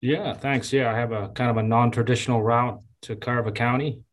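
A man in his fifties from Zambia, a county engineer giving relaxed, chatty interview answers with many fillers and restarts. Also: mid-range voice, fairly quick. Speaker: county engineer and public works director.

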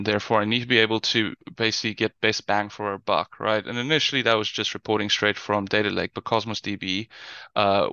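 A man's voice: lowish voice, bright, forceful tone, clean tone, no echo, highs cut off. A man with a South African accent giving a conversational webinar presentation, with many fillers and restarts. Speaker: guest presenter, business intelligence lead.

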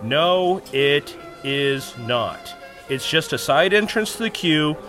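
A dry know-it-all played by a man in a podcast radio drama. He talks in a fairly high voice, matter-of-fact and pedantic. Soft music runs behind him. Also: slow, exaggerated voice. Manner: matter-of-fact, deadpan correcting. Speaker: podcast cast member. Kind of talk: scripted podcast audio drama.

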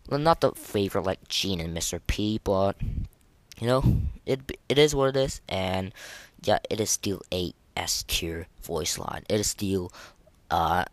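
A man hosting a casual gaming podcast, talking in a halting way and often repeating words as he restarts. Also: low voice, dry recording. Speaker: podcast host.

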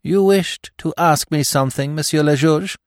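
A dignified widow in mourning, her voice raised and lifted well above a man's register, as a male narrator puts her on. She speaks with quiet reserve and poise, in a dry, close storybook reading. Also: a little high, moderate pace, no room sound, pitched above the performer's own voice, natural voice. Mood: composed. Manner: reserved, composed, breaking into sobs. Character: grieving dignified middle-aged widow.

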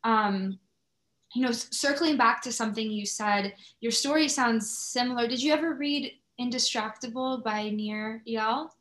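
A woman's voice: on the high side, moderate pace, fairly bright tone. A woman hosting an interview in a conversational, thoughtful and enthusiastic way. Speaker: podcast host interviewing a guest. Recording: roomy, echoey sound.

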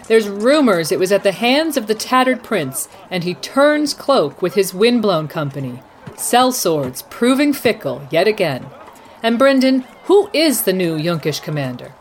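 A woman playing an excitable news anchor covering a war live in a radio play. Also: fairly high pitch, slight background noise.

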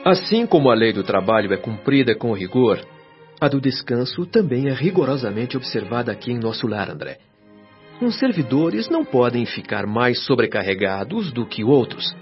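A Brazilian actor playing a patient, earnest male spirit guide in a radio drama, explaining in formal, measured, articulate phrases. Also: mid-range voice, big pitch swings. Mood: earnest, emphatic. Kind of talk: radio drama acting, didactic dialogue. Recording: dull lo-fi radio drama, music behind. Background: music.

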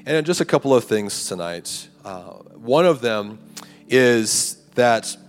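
A man preaching a conversational sermon to a campus ministry, his voice raspy and a little hoarse. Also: medium pitch, expressive, slow. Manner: conversational preaching with rhetorical "right?" and "like". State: hoarse, clearing throat. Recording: live hall recording, long reverb, faint music.

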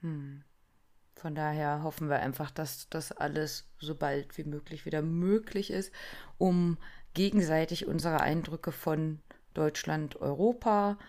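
Woman, low-pitched, casual, chatty, laughs a lot. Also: fairly even intonation, a little slow, a little mellow. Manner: chatty, filler-heavy, laughs often. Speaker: podcast co-host. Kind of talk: casual chat.

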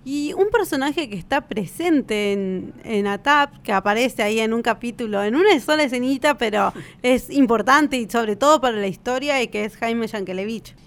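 A woman's voice: a little high, animated, normal speed, hardly any pauses. A woman with an Argentine accent, co-hosting a podcast in a casual, conversational way and often checking for agreement with little tag questions. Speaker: podcast co-host.

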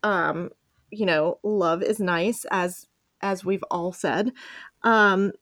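A woman's voice: fairly low pitch, steady tone, slow pace, fairly bright tone, no room sound, studio quality. A woman hosting a podcast in a casual, chatty way, playful and enthusiastic. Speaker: podcast host.

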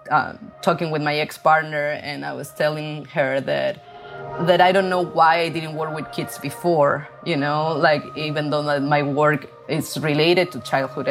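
A woman in her forties from Chile with a Chilean Spanish accent, talking haltingly about her art practice with many fillers and restarts. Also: low-pitched, gravelly. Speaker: artist.

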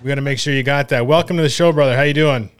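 Clear-voiced male sports show host. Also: mid-range voice, racing, faint music behind. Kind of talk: casual sports talk and interviewing.

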